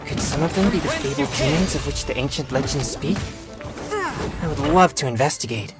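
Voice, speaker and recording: A man's voice: medium pitch, lively intonation, close to an everyday voice. An actor playing an earnest, disciplined teenage boy exorcist in a video game, speaking formally in a youthful voice. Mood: earnest, composed. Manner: formal, measured, earnest delivery. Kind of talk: scripted video game character dialogue. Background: music.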